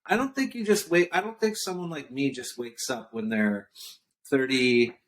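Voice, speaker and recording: A man in his forties sits in as a podcast guest and talks in an emphatic, casual way. He repeats his key points for effect and clears his throat or coughs now and then, at an everyday mid pitch. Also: moderate pace. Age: 40s. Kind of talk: casual interview talk.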